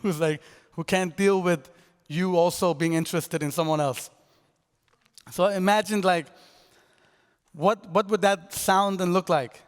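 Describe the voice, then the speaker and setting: A young man from Denmark telling a conference audience about his podcast in a loose, rambling way. His voice is smooth and a bit higher than most men's, with a long reverb carrying through the hall. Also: unhurried, pauses often. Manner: casual and rambling, full of fillers and restarts. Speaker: podcast creator presenting his work. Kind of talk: conference talk.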